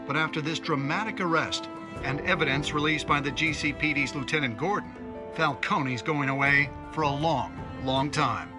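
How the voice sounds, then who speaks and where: An actor playing an opinionated TV news commentator, delivering scripted reports in a pointed, wry, dramatic manner. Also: male voice, on the high side, natural voice. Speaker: news presenter.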